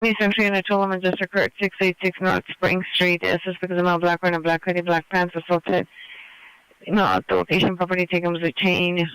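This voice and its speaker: Guy dispatching on police scanner, talks fast and flat, really crisp bright voice. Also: high voice, no echo, poor audio. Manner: flat, procedural, reading codes and addresses. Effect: police radio transmission.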